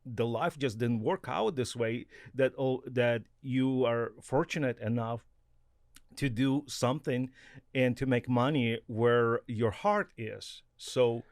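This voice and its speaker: A man hosts a casual interview in a mid-pitched voice. He speaks haltingly, pausing and restarting as he looks for the right words in a language that is not his first. The recording is dry. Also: no room sound. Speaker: podcast host.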